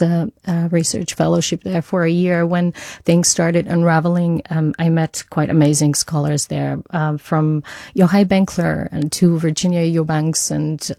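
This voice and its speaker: A woman from Bulgaria in her forties, speaking as a guest in a dry studio. Her voice is mellow and gravelly, fairly low. She hesitates and restarts often as she talks. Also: dry recording. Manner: hesitant, many fillers and restarts. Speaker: guest, educational technology consultant. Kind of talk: radio interview. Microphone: studio mic.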